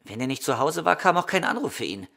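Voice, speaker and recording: A man's voice: on the high side, sing-song, quick pace, creaky, a bit bright, heavily acted. A man playing a polite, open young man with burdens, speaking plainly in a crime radio play. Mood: puzzled.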